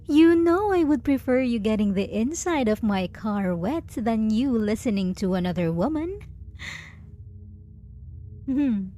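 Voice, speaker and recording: A woman playing a jealous, possessive yet doting lover in an intimate ASMR roleplay, teasing and condescending with deliberate pauses. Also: on the high side, lively intonation, dark tone. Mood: teasing, controlled. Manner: slow, teasing, condescending pet-name cooing with deliberate pauses.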